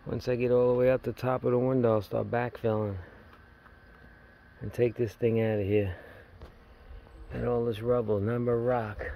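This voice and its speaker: A man's voice: mid-range voice, a little mellow, slight background noise. Plainspoken middle-aged man filming his DIY job. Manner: plainspoken, rambling, working-man talk. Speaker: DIY home builder filming his project. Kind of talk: casual walkthrough narration. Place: outdoors at a house, in a dug-out basement stairwell. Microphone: handheld phone camera.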